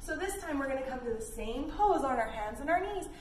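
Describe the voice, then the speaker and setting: A woman's voice: sits high, animated, fairly quick. A woman leading a children's read-along yoga lesson, reading expressively and giving simple, encouraging instructions to young children. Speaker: children's yoga teacher reading a picture book aloud. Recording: echoey room, distant mic, background noise.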